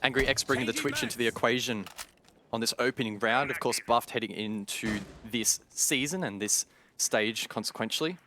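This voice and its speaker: A man's voice: mid-range voice, normal speed, noisy background. A man casting an esports match, rattling off stats and player history in detail. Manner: detailed, stat-heavy, rattles off facts. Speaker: esports caster. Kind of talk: play-by-play commentary.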